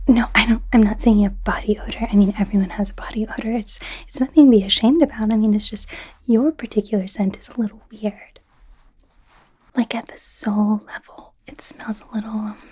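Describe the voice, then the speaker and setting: A young woman voicing an awkward, flustered female werewolf student in a soft, whispery ASMR roleplay, stammering and rambling nervously. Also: medium pitch. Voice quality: soft, breathy, often whispery. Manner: nervous, stammering, rambling, indignant when embarrassed.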